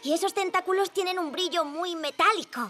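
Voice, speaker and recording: A woman's voice: very high voice, brisk. A woman playing a sensible young girl superhero and team leader with a Castilian Spanish accent in a children's cartoon dub. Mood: observant. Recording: loud music behind.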